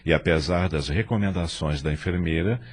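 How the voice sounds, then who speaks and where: A man's voice: sits low, normal speed. A man narrating an audio novel in a dramatic, scripted storytelling style. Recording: music bed behind narration.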